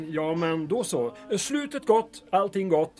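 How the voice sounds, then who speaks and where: An actor playing a polite, deferential small-town official in a period audio drama. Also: male voice, sits high, sing-song. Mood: courteous, hesitant. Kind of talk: period radio drama acting.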